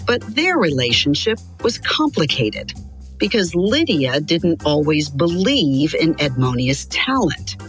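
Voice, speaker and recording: A woman narrating a scripted children's biography in an expressive, clearly articulated storytelling style. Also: low voice, a little slow, soft background music.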